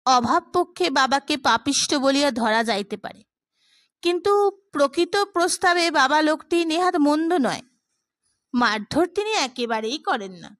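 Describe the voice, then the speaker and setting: High-voiced woman reading a story. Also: a bit bright, no room sound, studio quality. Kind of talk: scripted audiobook narration of literary prose.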